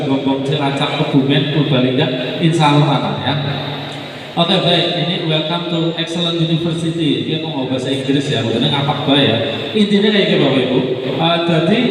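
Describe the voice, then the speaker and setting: Seorang pria berlogat Banyumasan (ngapak) mempresentasikan universitas kepada hadirin dengan santai dan informal, sering menyelipkan kata pengisi. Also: male voice, on the high side. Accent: Banyumasan Javanese (ngapak). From Banyumasan region of Central Java. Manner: relaxed and informal, mixes local dialect with filler words. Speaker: university representative presenting to a gathering. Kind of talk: promotional presentation to an audience. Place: large sports hall. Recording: echoey sports hall recorded from a distance, noisy.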